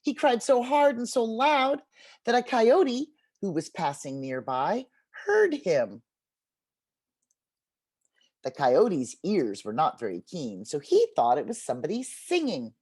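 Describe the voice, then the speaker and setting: A woman teacher speaks on a video call in an encouraging, expressive way. Her mid-pitched voice moves with very lively intonation, as if guiding a group of children through a read-aloud. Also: takes it slowly, breathy edge. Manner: encouraging, expressive, addresses listeners like young children. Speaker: literacy workshop teacher, storyteller.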